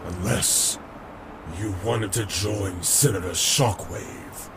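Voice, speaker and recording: A smug, domineering robot lawman acted by a man talks slowly and deliberately in a mid-range voice. He is sardonic and condescending, as in a dramatic animated comic dub, over clear background noise. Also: on the low side. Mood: quietly menacing.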